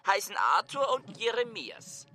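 High-pitched, testy castle official. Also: male voice, very animated, bright, forceful tone, full character voice. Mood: dismissive, insistent. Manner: halting, drawn-out, condescending, with questioning repeats. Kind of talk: literary radio play.

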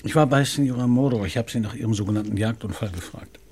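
An actor in a radio play playing a calm, courteous middle-aged police commissioner who speaks in a measured, probing way. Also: male voice, fairly low pitch. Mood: relaxed, wry. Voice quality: gravelly, husky.

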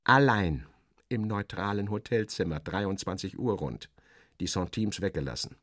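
A man's voice: low-pitched, lively intonation, moderate pace, a bit bright, no echo, clean studio sound. A man reading first-person literary narration in a dramatic, wry interior-monologue manner.

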